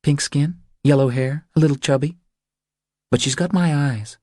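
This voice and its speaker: Man voicing an earnest, higher-pitched young mother. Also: normal speed, warm tone, no room sound, clean studio sound, lightly acted. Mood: wry, confiding. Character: Carmen, earnest pleading young mother confiding her troubles. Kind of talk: audiobook character dialogue.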